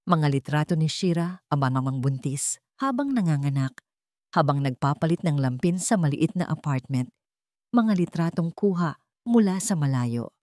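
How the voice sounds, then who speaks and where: Lowish, expressive woman storyteller. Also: moderate pace, no echo. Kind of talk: dramatic scripted narration.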